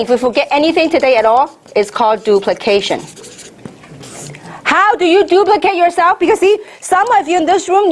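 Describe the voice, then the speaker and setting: A middle-aged woman from Hong Kong with a high-pitched voice, delivering a motivational sales training talk. She drives each point home with repetition and emphasis, and often puts rhetorical questions to her listeners. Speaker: network marketing trainer giving a seminar.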